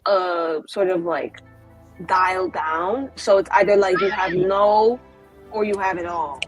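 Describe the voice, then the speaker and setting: A woman's voice: on the low side, steady tone, slow pace, soft background music. Rambling, giggly young woman player. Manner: rambling, filler-heavy, giggly. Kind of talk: in-character tabletop roleplay chat.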